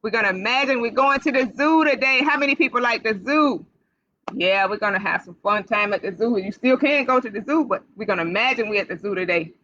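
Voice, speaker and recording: Lady doing kids storytime, really bright sing-song voice, talks right to the children, kinda muffled lo-fi stream audio. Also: on the high side, brisk. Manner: sing-song, addresses children directly with repeated questions. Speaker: library storytime host for children. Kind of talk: animated read-aloud for young children. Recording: dull, lo-fi livestream sound.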